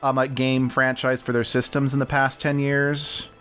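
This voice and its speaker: A man's voice: medium pitch, level tone, normal speed, a bit bright. Rambling man chatting over game music. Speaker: let's play commentator. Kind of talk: casual gaming chat.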